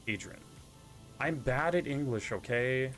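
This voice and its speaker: A man with a stuffy, congested tone that leans nasal, rambling casually as he plays. His talk is loose and self-deprecating, with a soft bed of music behind him. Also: mid-range voice, lively intonation, a little slow. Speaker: streamer. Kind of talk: casual live-stream chat while gaming.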